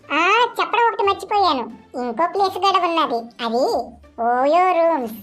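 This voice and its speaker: Woman doing a chatty young cartoon bride-to-be, coy n innocent, really high voice, bit of music. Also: lively intonation, normal speed, fairly bright tone. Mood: blithe, chatty. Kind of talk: comic cartoon dialogue.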